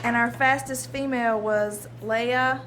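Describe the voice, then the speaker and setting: A woman's voice: fairly high pitch, fairly even intonation, a little slow. A woman from a wellness committee gives an update at a town board meeting, plainly listing names and results. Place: town hall meeting chamber. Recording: town meeting recording with background noise.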